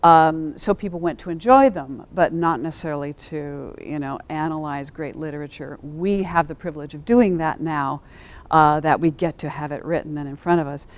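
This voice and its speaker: Woman, deep low pitch, measured, articulate, thoughtful, explanatory panelist style. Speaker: theater scholar and panelist. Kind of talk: explanatory discussion and spoken introduction.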